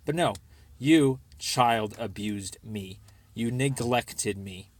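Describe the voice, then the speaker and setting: A man's voice: mid-range voice, takes it slowly. A young American man leaving a rambling personal voicemail, speaking in an insistent, aggrieved and repetitive way.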